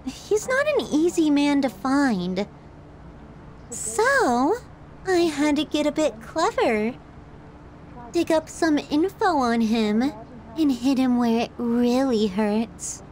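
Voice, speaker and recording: Yandere girlfriend for asmr roleplay, really high voice, slow and cooing, clingy, obsessed, creepy sweet. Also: a little mellow. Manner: cooing, drawn-out, teasing, clingy delivery.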